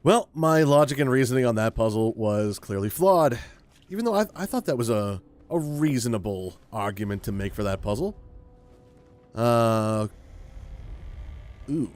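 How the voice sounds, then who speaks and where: A man's voice: mid-range voice, animated, moderate pace, long pauses, a little mellow. A man hosting a gaming video with casual, rambling commentary, thinking aloud as he plays. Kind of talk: casual let's play commentary.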